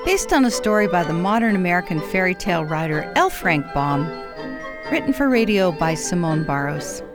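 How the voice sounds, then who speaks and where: Lively low-pitched woman radio announcer. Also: normal speed, over loud music. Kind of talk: scripted radio drama introduction.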